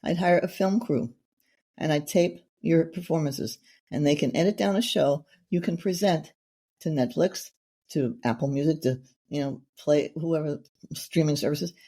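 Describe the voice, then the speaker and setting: A woman's voice: sits low, normal speed, warm tone, breathy edge. A middle-aged woman hosting a casual interview, chatty and effusive, rambling from one thought to the next. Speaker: podcast host.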